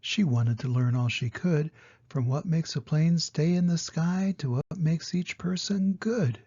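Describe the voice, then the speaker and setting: An older man, a grandfather with a husky, gravelly voice, reading a children's storybook and gently explaining it to young listeners. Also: fairly high pitch, a little slow, lo-fi sound.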